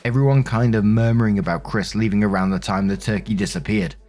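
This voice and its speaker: A man's voice: fairly low pitch, fairly even intonation, quick pace, darkish tone, soft background music. A man hosting a story show, reading online posts aloud and commenting on them conversationally into a close microphone. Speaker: host and narrator of a story show. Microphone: close studio-style microphone.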